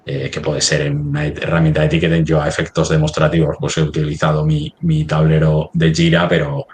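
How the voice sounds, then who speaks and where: Very deep-voiced man giving a demo. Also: monotone, darkish tone, slight background noise. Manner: halting, thinking aloud while working on screen. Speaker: software demo presenter. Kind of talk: live webinar product demonstration.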